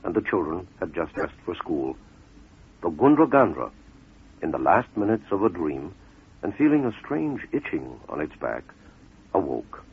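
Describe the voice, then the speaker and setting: A man narrating a fable as a scripted dramatic storyteller, in measured, deliberate phrases with pauses for effect, on a muffled radio recording. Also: sits low, big pitch swings, slightly rough.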